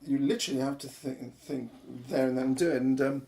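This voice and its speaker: Gravelly man. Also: mid-range voice, fairly even intonation, warm tone, slight background noise. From Iran. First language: Farsi. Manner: thoughtful, halting, many yeahs. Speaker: artistic director guest. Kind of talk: casual interview chat.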